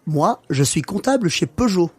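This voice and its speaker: A man's voice: sits high, expressive, normal speed, a little mellow, husky. A man playing a genial, teasing French father in a scripted language-learning radio play.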